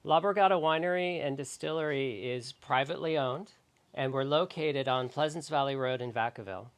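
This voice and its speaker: A man's voice: medium pitch, fairly quick. A man co-owning a winery, chatting casually in an upbeat, lightly teasing way. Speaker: winery co-owner. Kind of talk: casual promo chat.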